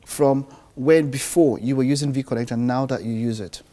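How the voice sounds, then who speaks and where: A man in his thirties hosting a studio interview on a TV morning show, asking questions and quick follow-ups. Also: mid-range voice, fairly even intonation, warm tone, slight background noise. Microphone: lapel.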